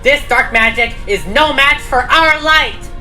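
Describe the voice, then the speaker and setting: Cartoon pony heroine, done by the narrator: young, female, high and forceful, commanding, rallying the herd. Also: a little slow, soft background music, full character voice. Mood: firm, rousing. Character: brave, urgent young female pony leader.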